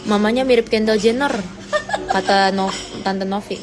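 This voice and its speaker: A teenage girl hosting a casual phone livestream, reading comments and teasing her viewers playfully. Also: moderate pace. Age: teens. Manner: teasing, playful, rises high when mock-outraged. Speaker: livestream host.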